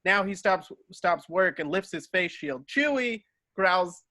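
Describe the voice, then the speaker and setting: A man's voice: sits high, animated, unhurried, sharp tone, no room sound, clean studio sound. A man reading stage directions as narrator in an amateur table read, now and then stumbling and correcting himself.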